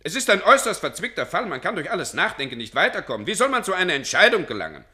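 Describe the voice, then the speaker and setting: Pompous, swooping radio-play bureaucrat. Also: male voice, sits high, sing-song, sharp tone, exaggerated voice. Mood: officious. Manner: pompous, dithering, officious, drawn-out phrasing. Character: self-important, evasive senior Russian civil servant, a director. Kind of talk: German radio play acting.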